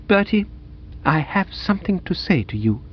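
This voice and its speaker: Man putting on a dreamy, soulful, high-pitched young woman. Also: big pitch swings, dull audio, slight background noise. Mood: earnest. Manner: gushing, halting, earnest. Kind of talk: dramatised audiobook reading.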